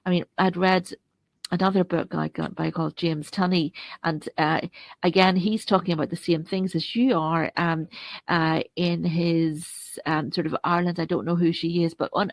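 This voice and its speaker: A woman hosting a conversational interview, speaking in a halting, circling way and repeating phrases as she works toward her point. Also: on the low side, no room sound. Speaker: interview host.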